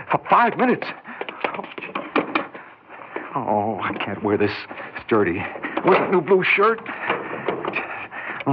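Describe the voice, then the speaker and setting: An actor playing a timid, self-loathing lonely bachelor in an American 1960s radio drama, stammering and repeating himself in a husky voice. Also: male voice, medium pitch, heavy background noise. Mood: flustered. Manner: stammering, halting, repeats words. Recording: dull 1960s radio recording.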